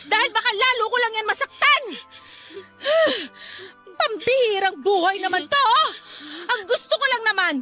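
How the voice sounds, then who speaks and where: An actress playing a strict, hot-tempered mother who sells goods, nagging and scolding in a radio drama. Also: extremely high pitch. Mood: furious.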